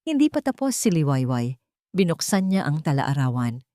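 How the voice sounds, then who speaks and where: A woman's voice: low-pitched, big pitch swings, moderate pace, warm tone, no echo, studio quality. A woman reading a scripted, dramatic romance story as its narrator.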